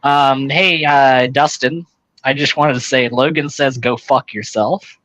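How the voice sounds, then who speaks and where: A man's voice: medium pitch, steady tone, a little slow, a bit bright, no room sound, low-quality recording. A man chatting casually on a group call, joking and self-deprecating. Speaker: small YouTuber on a group call. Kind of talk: casual chat.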